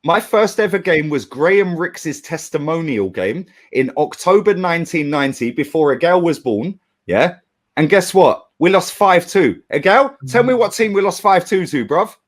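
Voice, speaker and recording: A man's voice: on the high side, lively intonation, normal speed. A man, arguing heatedly about football and swearing as he talks over others. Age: 30s. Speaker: fan panelist. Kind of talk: heated argument.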